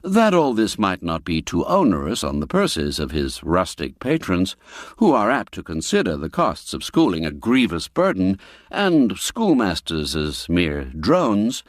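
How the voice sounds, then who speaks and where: A man narrating a classic tale in a scripted storytelling style, with an expressive, lilting delivery. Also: low-pitched, no room sound, studio quality.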